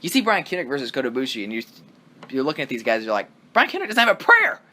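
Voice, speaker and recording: A man co-hosts a loose wrestling review chat, rambling with excitement and dropping casual swears. His voice bounces around a lot in pitch and has a bright, forward tone. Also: rapid-fire, a little hiss or hum. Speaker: podcast co-host.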